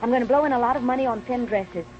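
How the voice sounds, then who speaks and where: An actress playing a devoted, stubborn young wife, impassioned and insistent, in an old radio drama. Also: female voice, a little high, racing, over music, lightly acted. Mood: breezy. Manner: impassioned, insistent, stammering with feeling. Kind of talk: 1930s radio drama acting. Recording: muffled, noisy old radio recording.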